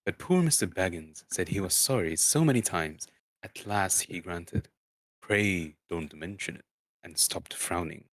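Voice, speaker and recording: A man reading a fantasy storybook aloud as a streamer, halting and often stumbling and repeating words. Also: low-pitched, sing-song, no room sound, poor audio. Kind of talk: amateur dramatic storybook narration.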